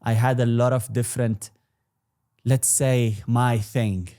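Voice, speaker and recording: A man's voice: medium pitch, little pitch movement, slow, warm tone. A young man in his twenties whose first language is Arabic, talking casually as an interview guest, rambling with restarts and fillers. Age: 20s. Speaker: guest entrepreneur.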